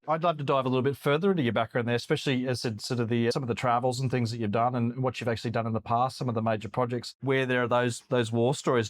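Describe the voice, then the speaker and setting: A man co-hosting a podcast who talks quickly at a middle pitch. He asks the guest questions eagerly and leans on small fillers as he goes. Delivery is loose and conversational. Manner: eager, fills with "look" and "sort of". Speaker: podcast co-host. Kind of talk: podcast interview.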